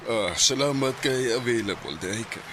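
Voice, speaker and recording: A man's voice: mid-range voice, moderate pace, noisy background, natural voice. An actor with a Cebuano Filipino accent playing a casual, generous, well-off man in a radio drama, mixing in English phrases. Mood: easygoing. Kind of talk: Bisaya radio drama acting.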